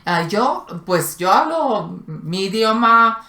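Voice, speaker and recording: A woman's voice: medium pitch, big pitch swings, slow, pushed and bright. Thoughtful woman, echoey room. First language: Slovak. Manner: thoughtful, hesitant, many fillers. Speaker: co-host. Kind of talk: casual conversation.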